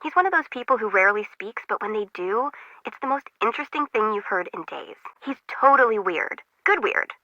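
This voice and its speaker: Bright, high-voiced quirky young radio operator. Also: female voice, expressive, a little fast, no room sound, lo-fi sound. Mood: dry, chatty. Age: young adult. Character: quirky, anxious young woman intern on radio. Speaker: harbor control tower operator. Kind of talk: video game voice acting.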